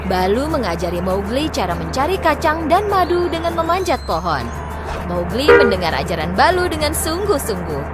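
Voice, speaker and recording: A woman narrating a scripted children's story in an expressive, clearly phrased way. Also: high voice.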